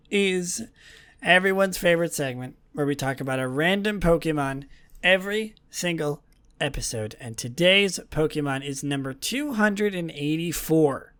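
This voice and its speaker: A young man with a voice pitched a little high, though hoarse and worn from a long day of talking. He hosts a podcast with loose, rambling talk, often stops to correct himself, and adds dry sarcasm. Underneath the wear his tone stays smooth and clean. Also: a bit bright. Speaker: podcast host. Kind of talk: casual solo podcast talk.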